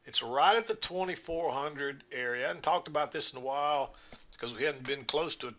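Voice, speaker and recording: A man's voice: on the high side, fairly quick, bright, forceful tone, muffled recording. A man reviewing trading charts, rambling folksily as he thinks aloud, his voice a little scratchy. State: slightly scratchy voice. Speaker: trading instructor. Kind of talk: screen-share chart walkthrough.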